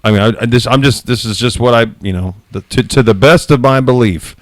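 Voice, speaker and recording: A man with a loud voice hosting a casual podcast, speaking frankly with many self-corrections. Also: mid-range voice, brisk, no echo. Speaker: podcast host.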